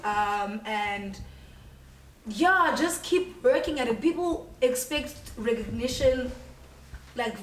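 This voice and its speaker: A young South African woman talks in a bubbly, outgoing way as an interview guest, laughing easily. Her voice sits a little high. She is recorded in an echoey room, some distance from the mic. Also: animated, slow pace, a bit bright, a little hiss or hum. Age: 20s. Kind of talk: casual interview chat.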